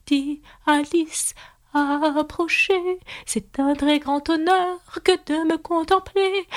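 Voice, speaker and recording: A high, shrill singer at a royal feast draws out every line of a ceremonial song, as a woman narrator puts him on. The pitch sits well above a normal speaking voice and the delivery crawls. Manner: sung slowly and high.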